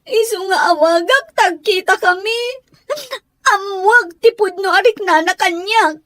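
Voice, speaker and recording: A woman performs a lovelorn young woman for an Ilocano radio drama. The voice is extremely high and slow, with an Ilocano accent and a close, dry sound. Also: a bit bright, no room sound. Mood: tearful longing. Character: young woman pining for her beloved.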